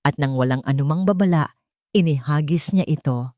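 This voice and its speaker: Low-voiced Filipino woman narrating drama. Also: moderate pace, warm tone, no room sound, studio quality. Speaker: audio story narrator. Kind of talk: dramatic scripted romance story narration.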